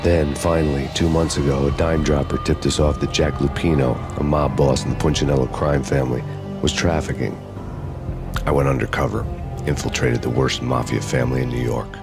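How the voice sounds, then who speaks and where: An American actor playing a grim, world-weary undercover cop who narrates a video game in deadpan hardboiled noir style. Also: male voice, very deep voice. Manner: deadpan, grim, poetic delivery. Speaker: protagonist and narrator. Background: music.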